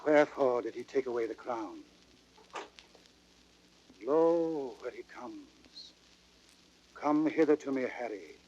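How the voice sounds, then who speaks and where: A man's voice: medium pitch, lively intonation, slow, pauses often, darkish tone, highs cut off, slight background noise. An actor playing a dying old king, bitter and grieving over his son, in declamatory classical Shakespearean radio drama style. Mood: weak, anxious. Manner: declamatory, with big swells and drops.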